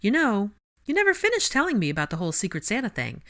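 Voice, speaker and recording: A woman's voice: medium pitch, wildly swooping pitch, fast talker, fairly bright tone, voice pushed up. A woman voicing a nosy, teasing teenage witch who pries with incredulous questions.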